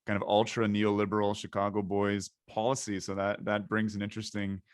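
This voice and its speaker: Low-voiced talkative podcast host. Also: male voice, completely flat delivery, a little fast, no room sound, clean studio sound. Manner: rambling, talkative, thinks aloud. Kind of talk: podcast interview.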